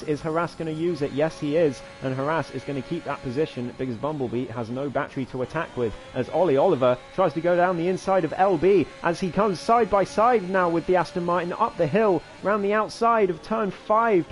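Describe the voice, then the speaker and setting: A hoarse man giving live commentary on an online racing league, his voice climbing excitedly through each overtake. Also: a little high. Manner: rising excitedly during overtakes, chatty asides. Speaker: race commentator.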